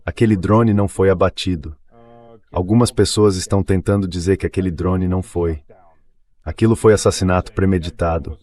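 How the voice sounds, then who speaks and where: A man's voice: sits low, steady tone, brisk, warm tone. A man giving conversational interview commentary in a matter-of-fact way, read as a translated voice-over.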